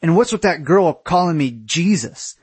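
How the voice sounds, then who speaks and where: An indignant young man played by a male narrator scolds loudly in a raised, high-pitched voice. He sounds exasperated and repeats himself as he complains, like a character in an audiobook story. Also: big pitch swings, unhurried, heavily acted.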